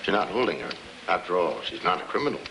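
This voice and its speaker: An actor playing a blustery, smooth middle-aged American father and husband in a 1950s film drama. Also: low-pitched, sing-song, quick pace, bright, forceful tone, exaggerated voice. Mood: indignant, placating. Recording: noisy old film soundtrack.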